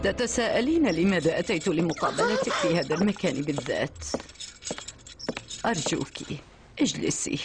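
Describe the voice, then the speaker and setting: Aristocratic grandmother for cartoon dub: stately, formal, lower-pitched, music clearly underneath. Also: very animated, normal speed, long pauses. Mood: gracious, reminiscing. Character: dignified aristocratic old duchess, a grandmother. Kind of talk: dubbed Arabic cartoon acting. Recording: loud background music.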